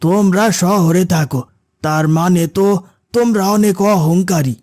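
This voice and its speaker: A man's voice: high voice, unhurried, darkish tone, no echo, clean studio sound. A man voicing a suspicious, curt villager in a cartoon, with an accusing manner. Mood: scornful. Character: suspicious, curt village man who dislikes city folk. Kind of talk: cartoon character dialogue.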